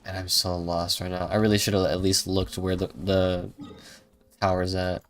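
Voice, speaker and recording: A man's voice: low-pitched, monotone, moderate pace. A man doing casual live gameplay commentary, muttering his reactions to himself as he plays. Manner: muttering reactions to himself, humming and singing snatches. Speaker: game streamer.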